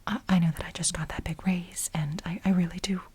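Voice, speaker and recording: Breathy whispering woman, soft and coaxing. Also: fairly low pitch, fairly even intonation. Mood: hesitant, ingratiating. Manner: halting, coaxing delivery with repeated phrases. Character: obsessive, fawning female lab assistant with a crush. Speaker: ASMR role-play performer. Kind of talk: soft-spoken whispered ASMR role play.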